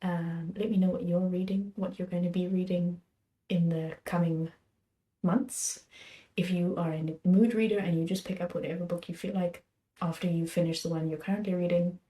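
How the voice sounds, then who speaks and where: A young woman hosting her book channel, chatting about what she plans to read next in a rambling, self-correcting way, her voice a little hoarse from being sick. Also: lowish voice, fairly even intonation, normal speed, warm tone, poor audio. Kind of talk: casual chat about books.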